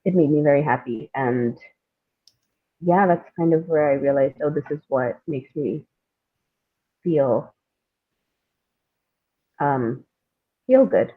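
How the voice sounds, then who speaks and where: Woman from India, interview guest, very low voice, thoughtful and hesitant, wry about herself, thin band-limited audio. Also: slow, pauses often, narrow, tinny audio. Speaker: guest, playwright and screenwriter. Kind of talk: reflective interview conversation.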